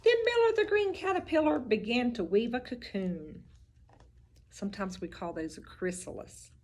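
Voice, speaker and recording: A teacher reading a picture book aloud, with expressive storybook delivery and little asides about the pictures. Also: female voice, a little high, normal speed, a little hiss or hum.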